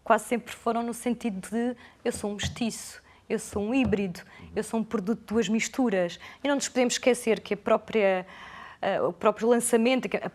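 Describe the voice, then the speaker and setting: A woman researcher, answering interview questions in a thoughtful, hesitant way with many restarts. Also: a little high.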